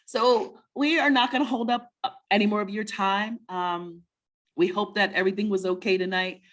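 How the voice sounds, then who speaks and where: A woman co-hosting a children's story webinar, chatting casually in a warm, encouraging, motivational way and often checking in with questions. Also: medium pitch, fairly even intonation, normal speed.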